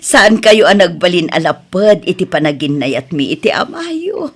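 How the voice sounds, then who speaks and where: A woman with an Ilocano accent playing a humble, soft-hearted middle-aged mother in an audio drama. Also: fairly low pitch, big pitch swings, moderate pace, breathy edge. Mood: tender, content.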